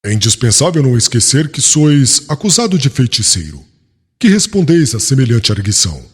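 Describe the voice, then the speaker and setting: A man's voice: mid-range voice, sing-song, fairly quick, breathy edge. A man playing a proud, angry young doctor of the law, stern and pompous, in a dramatised audiobook. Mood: harsh, accusing. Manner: stern, pompous, formal courtroom speech. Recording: long hall reverb, music underneath.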